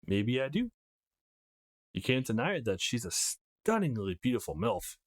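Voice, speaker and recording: Visual novel role: male reader as feisty young woman, foul-mouthed tease, blunt gossip, very lively intonation. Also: medium pitch, moderate pace, lightly acted. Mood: teasing. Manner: cheeky, blunt, gossipy storytelling.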